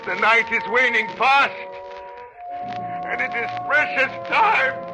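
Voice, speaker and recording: An actor playing a frightened old miser who pleads urgently, in an old radio drama. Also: male voice, very high-pitched, takes it slowly, over music. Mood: fearful urgency. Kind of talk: 1930s radio drama acting. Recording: old 1930s radio broadcast.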